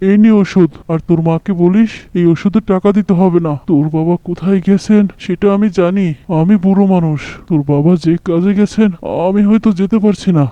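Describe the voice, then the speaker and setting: A gentle old village doctor in a cartoon, played by a man, with a raised yet very dark, smooth voice. The sound is muffled and dry. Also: sits high, dry recording, natural voice. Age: older. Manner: gentle, fatherly and unhurried.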